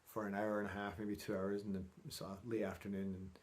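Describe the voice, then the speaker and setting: A man's voice: fairly low pitch, little pitch movement, a little fast, a little mellow. A middle-aged man talking casually to camera in a chatty, rambling, informal vlog style. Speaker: vlogger.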